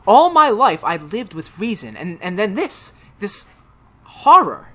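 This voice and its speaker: A woman playing a tormented, haunted man in a 1930s-style radio horror drama, speaking melodramatically with halting, emphatic repetitions. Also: medium pitch, big pitch swings, pushed and bright, heavily acted. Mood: anguished.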